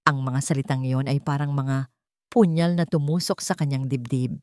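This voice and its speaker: Female story narrator, Filipino accent, low register, very dry close sound, scripted dramatic read. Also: steady tone, normal speed, darkish tone, dry recording.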